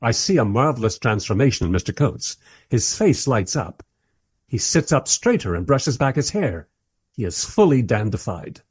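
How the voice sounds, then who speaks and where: An actor playing a polite, squeamish documentary interviewer who narrates in a hesitant, wry manner in a radio play. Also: male voice, medium pitch, sing-song, moderate pace, husky, dry recording. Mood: dry exasperation.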